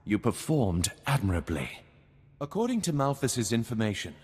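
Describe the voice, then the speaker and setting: An actor playing a formal, businesslike baron in a video game, with a courtly manner. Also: male voice, medium pitch, very animated, moderate pace, darkish tone, breathy edge. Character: formal, businesslike noble baron, male patron. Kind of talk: scripted video game voice acting. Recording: long hall reverb.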